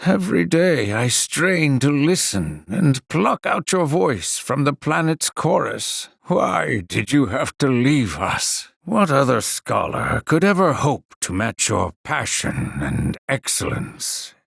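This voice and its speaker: An actor playing a grieving, reverent scholar in a video game, wistful and formal in speech. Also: male voice, mid-range voice, big pitch swings, takes it slowly, few pauses, a bit bright, no room sound, studio quality, heavily acted. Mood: mournful, reverent. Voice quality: gravelly, husky. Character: grieving, reverent scholar mourning a departed professor. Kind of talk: video game character dialogue.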